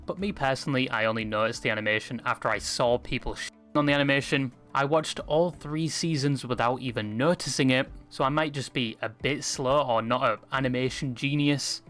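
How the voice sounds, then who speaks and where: A man's voice: medium pitch, a bit bright. A man delivering scripted narration as a video essayist. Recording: music behind the voice.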